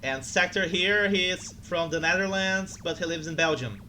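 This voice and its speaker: Excitable male streamer. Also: high voice, normal speed, slight background noise, rough recording. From Brazil. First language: Portuguese. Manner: excitable, flustered, chatty. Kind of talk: live game commentary and chat.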